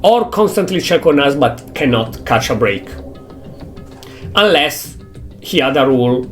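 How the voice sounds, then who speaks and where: A young man with an Italian accent talks through his theories while playing, working things out slowly in English that isn't his first language. His voice sits at a mid pitch, with faint game music behind him. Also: lively intonation. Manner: thinking aloud, theorizing, halting non-native English. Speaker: streamer. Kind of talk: casual gameplay commentary.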